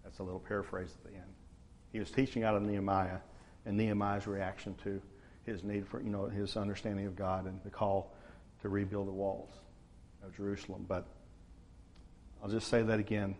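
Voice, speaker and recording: A man, preaching a sermon to his church in a relaxed, conversational way. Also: fairly low pitch, a little hiss or hum. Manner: conversational, unhurried, frequent uh fillers. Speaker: pastor.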